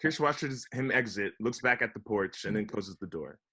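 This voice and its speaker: A man's voice: lowish voice, lively intonation, normal speed, bright, forceful tone, husky. A man reading stage directions as scripted narration for a comedy table read.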